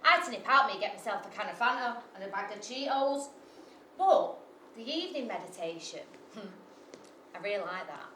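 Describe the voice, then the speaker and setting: A woman's voice: mid-range voice, animated, brisk, sharp tone, slight background noise. A woman performing a live comic monologue, brash, sweary and self-mocking, full of asides to the audience. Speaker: storyteller. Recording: echoey live venue from far off.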